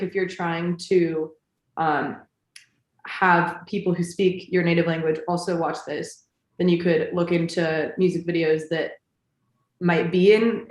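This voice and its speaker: A woman on a panel answering questions about music videos in a relaxed way, thinking out loud. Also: on the low side. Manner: relaxed, thinks aloud with fillers. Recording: roomy echo.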